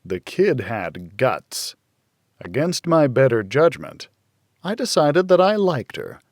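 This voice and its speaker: A man narrating a thriller audiobook in the first person, with a brooding hardboiled delivery and dramatic pauses. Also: mid-range voice, big pitch swings, no echo, studio quality.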